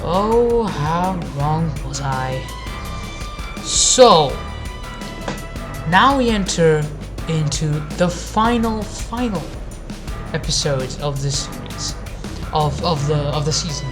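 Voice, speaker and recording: Low-voiced woman in blunt, sarcastic commentary. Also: expressive, takes it slowly, pauses often. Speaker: anime review video maker. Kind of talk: informal video rant commentary. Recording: music behind the voice. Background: background music.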